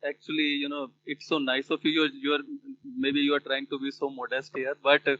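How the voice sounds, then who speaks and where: Man, Indian English accent, native Hindi, bright tone, a bit high, muffled call audio. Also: flat delivery, a little fast, dry recording. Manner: thoughtful, many fillers and restarts. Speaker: learner. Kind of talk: casual conversation practice.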